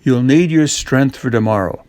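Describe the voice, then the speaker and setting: A man's voice: mid-range voice, normal speed, a little mellow, no room sound, lightly acted. A man voicing a poor, weary widowed mother in a children's storybook. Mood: gentle, practical.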